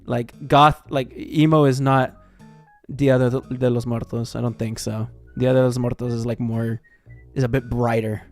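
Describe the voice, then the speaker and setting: An American man livestreaming in casual chat, excitable and sweary, reacting and reading things aloud. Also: mid-range voice, a little slow, faint music behind. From Texas, United States. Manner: excitable, swearing, jumps from muttering to shrieks. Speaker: livestream host.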